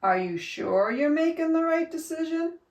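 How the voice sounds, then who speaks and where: A woman voicing a crowd of pleading, screaming worries, fears and doubts from a children's storybook, with drawn-out shouts. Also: high-pitched, lively intonation, pitched above the performer's own voice. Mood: warning, doubting. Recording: echoey room, distant from mic.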